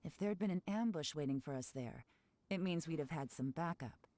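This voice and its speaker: Brief: a poised game spy, low and mellow voice, soft music behind. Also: female voice, no echo. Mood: commanding. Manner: calm, measured, knowing. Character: cool, composed woman intelligence agent. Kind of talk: game dialogue.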